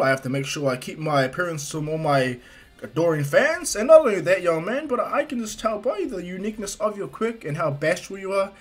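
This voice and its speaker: A man acts out a booming, jovial superhero in an amateur retelling of a cartoon story. He speaks in a raised, husky voice with hearty, fatherly grandeur, treating the listener like a promising youngster. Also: exaggerated voice.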